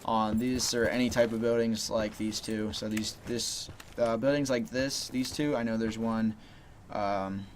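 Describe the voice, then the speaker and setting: Guy rambling thru game tips, low flat voice, kinda loose, lotta "you know"s, noisy mic. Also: measured pace. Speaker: gaming channel host. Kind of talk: casual gameplay tips commentary.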